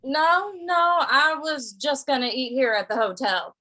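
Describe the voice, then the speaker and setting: Woman playing hesitant, mild cowboy, bright high voice. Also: expressive, unhurried, exaggerated voice. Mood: flustered politeness. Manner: hesitant, stammering, folksy. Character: mild, flustered, modest cowboy Britt, a man. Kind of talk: old-time radio western acting.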